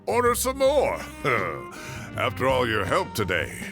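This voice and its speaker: A man's voice: medium pitch, wildly swooping pitch, slow pace, vocal fry, exaggerated voice. A man playing a genial, blustery old retired professor in a video game. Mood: genial, jovial. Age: older. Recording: game music underneath.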